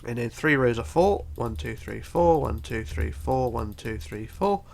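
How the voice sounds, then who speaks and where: A man's voice: mid-range voice, expressive, unhurried, vocal fry, a little mellow. A man with a bunged-up, congested voice giving a casual step-by-step building tutorial, counting aloud methodically. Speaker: tutorial host.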